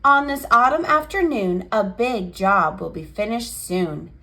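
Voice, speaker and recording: A woman reading a picture book aloud for young children in an expressive, cheerful and measured storytelling manner. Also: medium pitch. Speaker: children's picture book reader and channel host.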